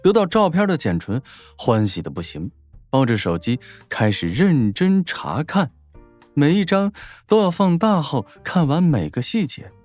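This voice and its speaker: A man's voice: fairly high pitch, big pitch swings, measured pace, a little mellow, soft background music. A man narrating a scripted story as an audiobook narrator, in a dramatic storytelling style.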